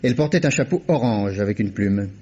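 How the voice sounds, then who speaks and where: An actor playing a calm, insistent, coolly menacing investigator in an old French radio mystery. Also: male voice, on the low side, brisk, slightly rough. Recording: muffled old radio recording.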